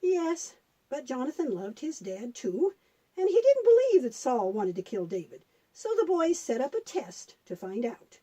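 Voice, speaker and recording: A woman's voice: high-pitched, big pitch swings, unhurried, exaggerated voice. A woman voicing a patient grandmother puppet who explains and tells Bible stories to children. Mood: patient, instructive. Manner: explanatory, patient, gently instructive.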